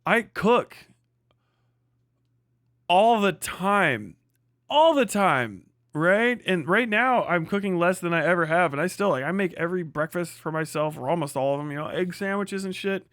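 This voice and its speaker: Profane, higher-pitched man ranting on stream. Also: expressive, moderate pace, fairly bright tone, dry recording, clean studio sound. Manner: profane, slangy, blunt; sardonic, hyperbolic. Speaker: livestream host. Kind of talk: casual livestream rant, chatting with viewers.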